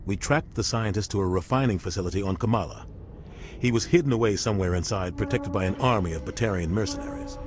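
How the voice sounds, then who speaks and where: Give the captain a low, grave voice, played by a man, middle-aged and dutiful, with weary honesty. He talks in an earnest, measured way, as in video game dialogue. Faint noise sits behind him. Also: natural voice. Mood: grave, recounting.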